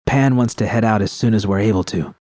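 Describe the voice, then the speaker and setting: Casting: male narrator as tough, affectionate mercenary woman, casual and clipped, dark soft tone, dry sound. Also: on the low side, fairly quick, no room sound, lightly acted. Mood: quietly hopeful. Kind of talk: audio fan fiction dialogue.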